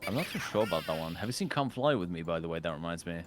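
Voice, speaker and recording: A man's voice: low voice, animated, rapid-fire. A British man streaming a video game, chatting loosely, rambling and swearing freely. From Britain. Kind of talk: casual gaming chat. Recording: game music playing behind.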